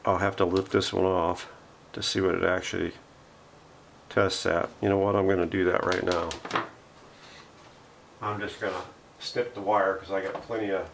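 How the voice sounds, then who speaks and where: A man with a very low, gravelly voice talks through hands-on work in a workshop. He is casual and unscripted, thinking aloud as he goes. A faint noise sits behind his voice. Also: little pitch movement, normal speed, pauses often. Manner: thinking aloud while working. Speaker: host of a radio repair vlog. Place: home workshop.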